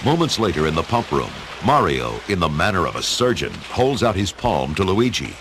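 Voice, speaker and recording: A man's voice: low-pitched, sing-song, moderate pace, background noise. A man giving theatrical scripted narration for a radio adventure story. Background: music.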